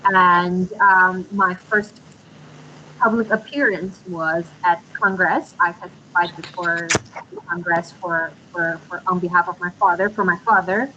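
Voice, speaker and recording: A woman's voice: fairly low pitch, steady tone, measured pace. A woman in her twenties answers interview questions over a video call. She speaks earnestly, with frequent hesitant ums. The audio is a little dull and lo-fi, with a faint hum underneath. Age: 20s. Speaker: interview guest.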